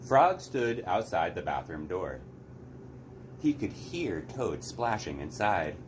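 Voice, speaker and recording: Deep-voiced man reading a story aloud. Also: very animated, slow, a little hiss or hum. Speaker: read-aloud host. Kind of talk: expressive storybook narration.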